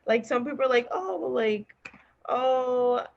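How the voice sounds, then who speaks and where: A woman's voice: sits high, a little slow, vocal fry, muffled recording, a little hiss or hum. A woman chatting casually and earnestly as an encouraging podcast guest. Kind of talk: casual chat. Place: remote group call.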